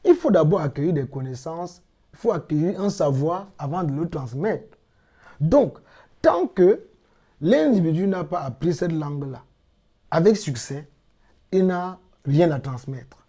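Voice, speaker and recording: A middle-aged man from Cameroon speaks as an interview guest, explaining points patiently and repeating key phrases for emphasis. His voice is mellow and warm, sitting a little high for a man. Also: animated. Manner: measured, explanatory, emphatic repetitions. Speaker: interviewed guest, language teacher. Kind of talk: interview answers.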